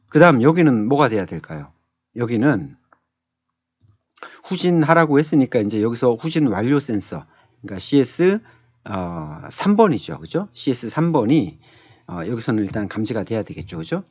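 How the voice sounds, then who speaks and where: Male tutorial instructor, conversational and thinking aloud; husky tone, dull close lo-fi recording. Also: medium pitch, big pitch swings, normal speed, darkish tone, no room sound. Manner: conversational, thinking aloud, often checking with short tag questions. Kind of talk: screen tutorial, explaining step by step. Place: indoors, screen-capture voice-over.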